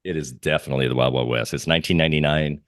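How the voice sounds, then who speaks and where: A man with an extremely deep voice talks casually as an interviewed trader. He thinks aloud in a halting way, and the sound is dry with very little room. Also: steady tone, dry recording. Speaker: trader guest being interviewed. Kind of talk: casual interview talk on markets.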